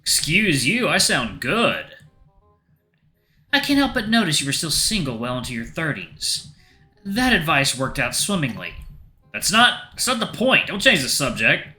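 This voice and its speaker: A gruffly defensive, stubborn man , voiced by a male narrator, offhand yet forceful. His pitch moves a lot, with music behind the story-game dialogue. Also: very animated, a little slow, heavily acted. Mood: indignant.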